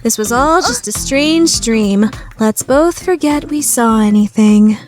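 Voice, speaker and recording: A woman's voice: on the high side, lively intonation, takes it slowly, music in the background. A woman voicing a theatrical, easily flustered, scheming teenage girl in an anime fandub radio play. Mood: exasperated. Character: dramatic, scheming, guilt-ridden teenage student girl.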